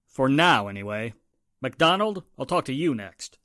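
A man's voice: medium pitch, sing-song, normal speed, fairly bright tone, no room sound, clean studio sound. A man playing a stern, authoritative police inspector in a 1940s-style radio drama. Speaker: actor in an amateur radio drama re-creation.

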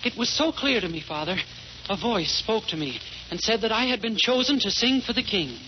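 A man's voice: sits high, expressive, moderate pace, slight background noise. A man voicing an earnest, timid poor boy. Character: poor, hopeful young boy singer. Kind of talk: 1940s radio acting. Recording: dull 1940s radio broadcast.

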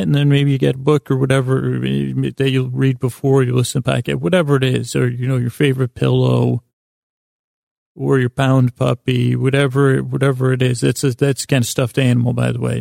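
A man hosting a bedtime podcast, rambling in soothing, lulling tones, halting and easily distracted as he goes. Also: medium pitch, level tone, creaky, darkish tone, no echo, clean studio sound. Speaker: podcast host.

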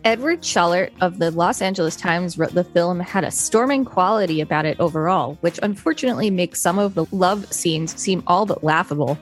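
A woman co-hosting a podcast, reading an article aloud in a steady, even way. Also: on the low side, a little fast, hardly any pauses, soft background music. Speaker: podcast co-host.

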